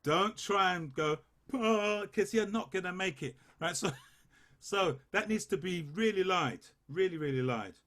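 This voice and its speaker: A man leading a choir rehearsal, coaching the basses and singing short phrases to demonstrate. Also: animated, fairly bright tone. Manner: unhurried, sings phrases to demonstrate. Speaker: choir leader.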